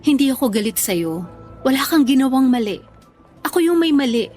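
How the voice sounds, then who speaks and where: A woman's voice: high voice, lively intonation, fairly quick, darkish tone, soft background music. A woman playing a weary, blunt ex-girlfriend in a radio drama, firm and exasperated. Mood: firm, resigned. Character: weary, blunt ex-girlfriend firmly ending a long relationship. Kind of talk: emotional radio drama dialogue.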